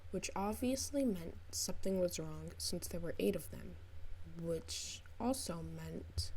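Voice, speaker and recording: A woman reading fan fiction as a narrator, in an expressive, wry storytelling style. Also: fairly low pitch, a little slow, noisy background. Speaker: podfic narrator.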